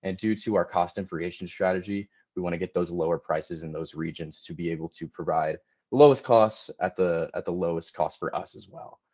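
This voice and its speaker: Really low voiced guy doing a business presentation, lots of ums, muffled lo-fi audio. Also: fairly quick, a little mellow. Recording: dull lo-fi audio.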